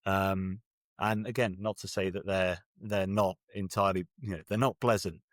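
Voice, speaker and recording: A British man with a fairly deep voice in a very dry recording. He speaks casually as an interview guest, hesitating and rephrasing as he goes, with a wry edge. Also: fairly even intonation, moderate pace, no echo. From Birmingham, England. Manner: hesitant, self-correcting, wry. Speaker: guest author.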